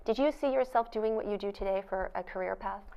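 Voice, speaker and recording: A woman's voice: mid-range voice, fast, gravelly, mellow, clean tone. Woman interview host with a dull, muffled sound. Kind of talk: formal scripted introduction, then conversational interviewing. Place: studio.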